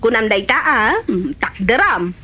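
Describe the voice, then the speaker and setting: A woman's voice: on the high side, lively intonation, normal speed, bright, forceful tone. A woman playing a blunt, nosy village friend in a comedy drama, with an Ilocano accent. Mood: blunt, animated. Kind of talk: Filipino comedy drama dialogue.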